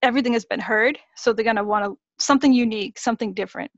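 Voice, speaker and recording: A woman in her thirties from Massachusetts, a singer-songwriter explaining her work in a measured way during an interview. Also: on the high side, no echo, dull audio. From Massachusetts, USA. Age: 30s. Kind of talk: interview answers.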